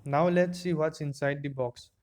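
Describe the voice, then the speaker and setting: A man's voice: fairly high pitch, fairly even intonation, moderate pace, darkish tone. A man narrating an unboxing and product review in a plain, step-by-step explaining way, recorded on an earphone microphone.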